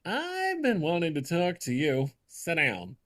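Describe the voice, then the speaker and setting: Scheming villain prince played by a man at a table read: menacing, bright, drawn-out delivery. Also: on the high side, wildly swooping pitch, voice pushed down, full character voice. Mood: scheming, coaxing. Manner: hammy, shifting accents. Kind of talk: comic live script table read.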